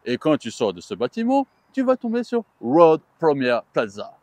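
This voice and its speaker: A man's voice: on the high side, huge pitch swings, moderate pace, a little mellow, dry recording. A man co-hosting a chatty vlog, talking in a playful, joking way. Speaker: vlog co-host. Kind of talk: chatty theme park news vlog.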